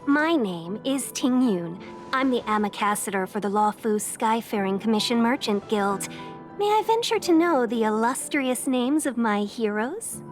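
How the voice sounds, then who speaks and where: A woman's voice: on the high side, animated, normal speed, soft background music, close to an everyday voice. A woman playing a courteous young female merchant envoy in a game, speaking with polite, flowery formality. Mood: courteous, composed. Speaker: game character, merchant guild ambassador. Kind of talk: scripted game dialogue.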